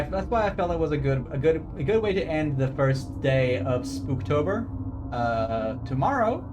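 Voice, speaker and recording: Guy streamer rambling into a desk mic, swears a lot, lots of uh's, music under it. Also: mid-range voice. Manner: rambling, profane, many fillers and restarts. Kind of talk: casual live-stream chat. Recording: stream audio with game music behind.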